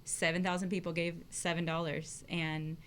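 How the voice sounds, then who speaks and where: A woman's voice: on the low side, flat delivery, normal speed, fairly bright tone. A woman, answering questions as a guest in an upbeat, agreeable way. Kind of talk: interview answers.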